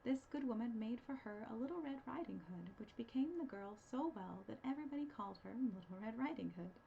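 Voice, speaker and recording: A woman narrates a fairy tale expressively in a fairly high, lively voice with some creak at the edges. The sound is slightly dull. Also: brisk. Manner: expressive, storytelling delivery. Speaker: librarian storyteller. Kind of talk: scripted storybook narration. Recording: dull, slightly noisy recording.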